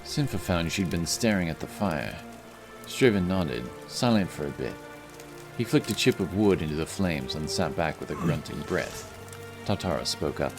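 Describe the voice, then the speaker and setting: Deep-voiced male fantasy narrator. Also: animated, normal speed. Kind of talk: scripted fantasy story narration. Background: music.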